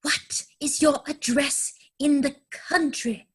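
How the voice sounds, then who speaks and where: A woman acting a high-voiced, grand Victorian young lady. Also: fairly even intonation, slow. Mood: adoring. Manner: precise, haughty, theatrical declamation. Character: grand, self-assured, romantic young Victorian society lady. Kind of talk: stage comedy dramatic reading. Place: home, recorded over video call.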